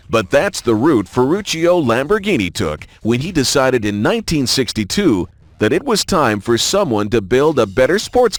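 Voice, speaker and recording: A man's voice: medium pitch, dry recording. A man reading scripted promotional narration for a car showcase in a racing video game, with a polished announcer delivery. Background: music.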